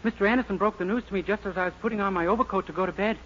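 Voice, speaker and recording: An actor playing a naive, earnest, boyish young lodger in a 1940s radio sitcom. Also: male voice, high-pitched, racing, natural voice. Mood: chirpy, innocent. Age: young adult. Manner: earnest, innocent, sheepish. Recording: muffled, very noisy old radio recording.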